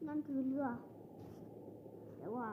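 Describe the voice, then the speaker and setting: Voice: takes it slowly, phone-quality sound. A child acting out playful, improvised cartoon story dialogue between several characters, switching from one to another. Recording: noisy home recording.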